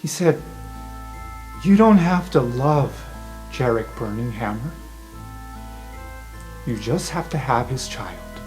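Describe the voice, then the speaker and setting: A man's voice: mid-range voice, animated, slow, long pauses, a little mellow, soft background music, rough recording. A man voicing a nervous, bitter young bride in an improvised fantasy roleplay.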